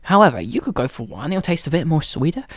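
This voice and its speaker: A young British man giving a playful ASMR roleplay tutorial straight to the listener, mock-instructive and slipping at times into breathy whispers. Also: a little high, very animated, quick pace, dry recording, clean studio sound. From United Kingdom. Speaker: ASMR roleplay creator and host.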